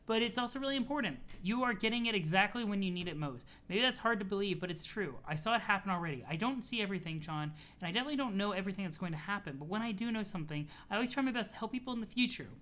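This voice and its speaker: A streamer voicing an eager, bright young girl. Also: medium pitch, lively intonation, racing, few pauses, pitched above the performer's own voice, lightly acted. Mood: eager, affectionate. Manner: eager, chatty, sweet. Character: earnest, cheerful young girl writing to her friend.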